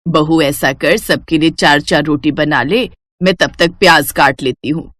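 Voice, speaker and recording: A woman's voice: sits low, measured pace, fairly bright tone, no echo, pitched below the performer's own voice. A woman voicing a poor older village mother-in-law in a cartoon story, plain, instructing and resigned in manner. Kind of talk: Hindi moral cartoon story acting.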